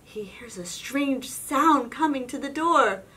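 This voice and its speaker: A woman reading a children's storybook aloud in an expressive, suspenseful narrating style. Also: high voice, takes it slowly, distant mic, slight background noise, poor audio. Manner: expressive, suspenseful, with whispers and exclamations. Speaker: storybook reader.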